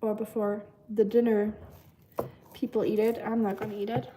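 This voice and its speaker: A woman's voice: mid-range voice, flat delivery, normal speed, noisy background. A young woman chatting casually on a live stream while cooking at home, reading comments with lots of fillers and giggly asides. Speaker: livestreamer.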